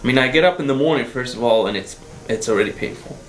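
A man's voice: mid-range voice, fast talker, faint music behind. A middle-aged man from Egypt, a patient, answering questions in a clinic room in earnest, brief replies with some hesitation. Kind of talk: interview answers. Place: dental clinic treatment room. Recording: echoey clinic room, faint hiss.

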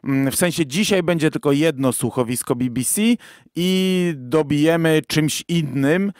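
Male podcast host, fairly high voice, casual and halting delivery, dry close recording. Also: a little mellow, clean tone, no room sound.

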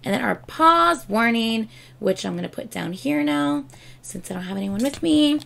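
A woman in her thirties, hoarse from losing her voice, chatting excitedly in a casual reaction vlog at her desk at home. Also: on the high side, moderate pace. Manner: excitable, chatty, gushing with laughs and exclamations. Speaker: K-pop reaction YouTuber. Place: home room with shelves of albums and collectibles, indoors. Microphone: webcam framing at desk.

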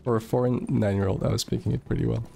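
A man's voice: mid-range voice, steady tone, quick pace, vocal fry, warm tone, a little hiss or hum. A young man from Moldova, chatting casually while streaming a game, swearing freely and reading chat aloud. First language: Russian.

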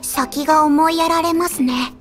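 Deadpan, quirky teenage idol girl. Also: sits high, loud music behind the voice. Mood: flat, unruffled. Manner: deadpan, slow, understated. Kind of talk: anime audio drama acting.

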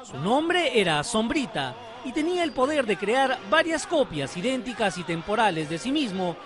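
A man's voice: extremely high pitch, very animated, normal speed. A man with a Peruvian accent narrating a scripted TV feature as a reporter, in a theatrical, emphatic storytelling manner. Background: music behind the voice.

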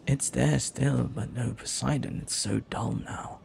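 A man playing a flirty, lovelorn pirate captain in a romantic audio roleplay, speaking softly and earnestly with hesitant, lingering pauses. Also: medium pitch, steady tone, gravelly, slight background noise. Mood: earnest, longing.

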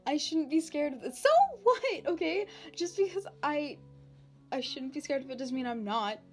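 A woman playing a playful, anxious young demon princess in an ASMR roleplay, talking casually and haltingly with a giggly manner. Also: high voice, faint music behind. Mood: nervous. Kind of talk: ASMR roleplay, unscripted-sounding casual chat.

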